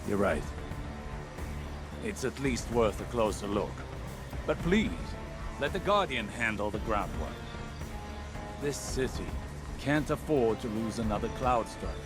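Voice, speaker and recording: Older mentor, video game character, cautious, stern but caring, formal measured delivery, prominent music underneath. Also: male voice, on the low side, animated. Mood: earnest, concerned. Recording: music playing behind.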